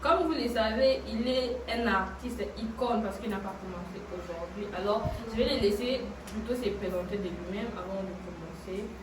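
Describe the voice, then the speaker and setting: A woman's voice: mid-range voice, normal speed, few pauses. A woman hosting a talk show, formal and welcoming as she introduces her guest. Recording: distant echoey noisy room.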